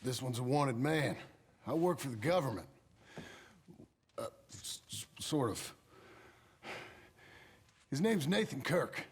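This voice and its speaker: An actor playing a gruff, dry ex-outlaw cowboy turned rancher, with an American Southern drawl, in a western game. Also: male voice, medium pitch, lively intonation, takes it slowly, long pauses, no echo. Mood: businesslike, hesitant. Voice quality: gravelly. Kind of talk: cinematic western game acting.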